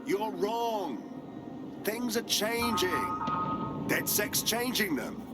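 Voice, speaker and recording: A man's voice: sits high, slow pace. A man playing an earnest, defiant, idealistic resistance hacker in a video game cutscene. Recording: loud music under the voice.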